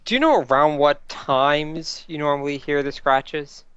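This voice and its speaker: A man's voice: fairly high pitch, unhurried, a bit bright, clear, resonant tone, dry recording, dull audio. A man playing a tabletop game, chatting casually as he says what his character does. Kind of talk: casual chat.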